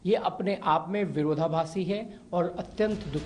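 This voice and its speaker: A man giving a formal, critical political statement to the press in a deliberate way. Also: high voice, darkish tone.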